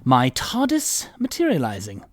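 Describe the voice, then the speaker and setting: A man's voice: mid-range voice, wildly swooping pitch, normal speed. A man playing an eccentric, flippant alien time traveller in an amateur audio drama, with a playful, muttering manner.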